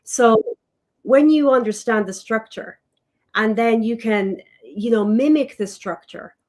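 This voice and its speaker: A woman's voice: on the high side, measured pace, darkish tone. A woman in a casual chat, speaking enthusiastically and rephrasing as she goes.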